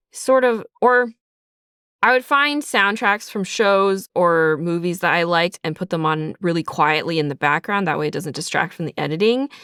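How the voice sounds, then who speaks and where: A woman with a fairly low, smooth voice talks in a casual interview. She explains things reflectively, hedging as she thinks aloud. The sound is close and dry, with no room around her. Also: a bit bright, no room sound. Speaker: audio drama writer and creator being interviewed. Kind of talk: casual conversational interview answers.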